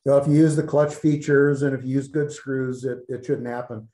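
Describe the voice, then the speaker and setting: Male co-host, dark easy voice, echoey workshop. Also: medium pitch, brisk. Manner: relaxed, joking asides. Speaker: woodworking show co-host. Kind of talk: casual chat. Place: woodworking shop indoors.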